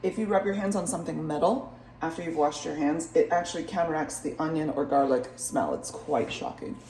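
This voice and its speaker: A young woman with a husky morning voice, chatting playfully to viewers in a cook-along vlog from her kitchen. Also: sits low, a little fast, a little mellow, slight background noise. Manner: bubbly and playful, talks to viewers while cooking. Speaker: cooking vlogger. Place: home kitchen. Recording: echoey kitchen.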